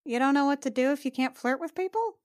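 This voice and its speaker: Higher-voiced woman, slangy casual player. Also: fast, no room sound, studio quality. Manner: slangy, conversational. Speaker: tabletop roleplaying player. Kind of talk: casual improvised chat.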